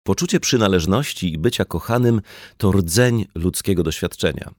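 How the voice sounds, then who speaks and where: A man reading a nonfiction audiobook in a measured, articulate way. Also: sits low, no echo, studio quality. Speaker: audiobook narrator. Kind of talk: scripted nonfiction audiobook narration.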